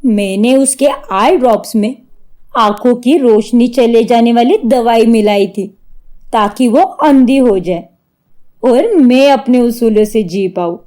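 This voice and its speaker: Woman playing a high-voiced scheming young daughter-in-law. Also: unhurried, dark tone, close to an everyday voice. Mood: gloating.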